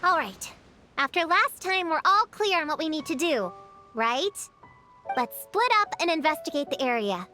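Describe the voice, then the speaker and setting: A woman voicing a small, bookish girl in a video game, who takes charge briskly yet gets flustered easily. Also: high-pitched, animated, sharp tone, faint music behind. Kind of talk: video game character dialogue.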